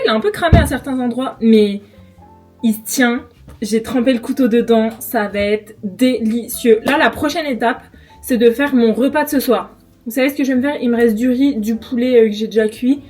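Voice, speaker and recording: A woman's voice: fairly high pitch, darkish tone. A young woman from France in her twenties, chatting casually and enthusiastically to camera in a home vlog, with many fillers and restarts. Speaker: vlogger. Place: home kitchen.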